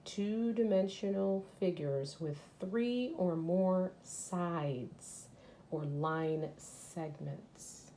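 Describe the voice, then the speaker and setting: Female elementary teacher, slightly low voice, very unhurried, reading a picture book aloud with explanatory asides for children. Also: warm tone, a little hiss or hum. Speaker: third grade teacher.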